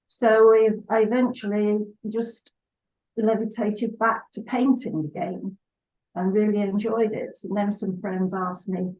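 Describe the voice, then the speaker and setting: An older woman from Salford, England, chatting as a guest in an unhurried way with hesitant fillers. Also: mid-range voice, little pitch movement, a little mellow. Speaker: guest artist. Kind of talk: relaxed interview chat. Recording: dull lo-fi sound.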